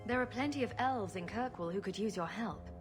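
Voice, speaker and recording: A woman playing a composed, wry adventurer in a fantasy video game, speaking in a measured way. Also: mid-range voice, music in the background, close to an everyday voice. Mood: warm, teasing.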